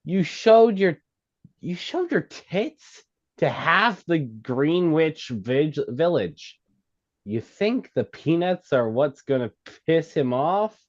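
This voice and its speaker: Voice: medium pitch, sing-song, slow pace, lo-fi sound. An actor at a script table read playing a blunt, insistent comedy club worker, emphatic and stumbling over lines. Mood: pushy.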